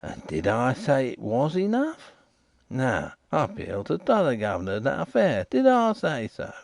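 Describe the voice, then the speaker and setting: A man puts on a shifty waterside informer who whines and insists, his voice jumping high with indignation. The sound is dry and close. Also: wildly swooping pitch, normal speed, creaky, dry recording, full character voice. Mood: insistent. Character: shifty, whining riverside informer, middle-aged man.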